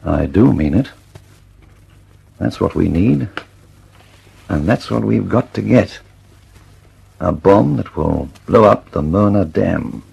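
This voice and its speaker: A man's voice: deep voice, expressive, slow, creaky, pauses often, darkish tone, husky, heavily acted, low-quality recording. An actor playing an earnest, impatient British male inventor in a radio drama, speaking emphatically and precisely. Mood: intense, resolute.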